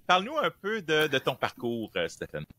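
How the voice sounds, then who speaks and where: A man's voice: fairly high pitch, wildly swooping pitch, normal speed, bright, forceful tone, no echo. A middle-aged man hosting a podcast interview, joking and laughing often. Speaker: podcast host. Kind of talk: casual interview chat.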